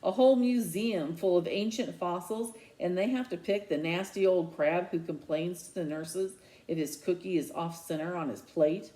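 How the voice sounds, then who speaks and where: A man's voice: high-pitched, normal speed, hardly any pauses, dark tone, lightly acted, poor audio. A woman voicing a bitter, complaining schoolboy sidekick in dialogue from a children's novel. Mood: scornful agreement.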